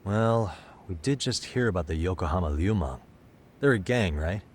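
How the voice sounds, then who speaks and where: Deep-voiced, calm detective. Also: male voice, normal speed, a little hiss or hum. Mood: calm, curious. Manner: relaxed, inquisitive. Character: calm, good-natured visiting male private detective. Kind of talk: video game voice acting, conversational. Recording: background music under the dialogue.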